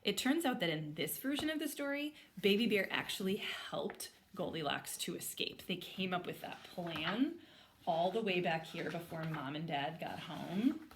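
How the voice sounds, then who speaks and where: A woman with a slightly low voice and a lively, varied pitch. She sounds like a primary school teacher, reading aloud and thinking out loud step by step for young children. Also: normal speed, slight background noise. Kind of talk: storybook read-aloud and lesson for children.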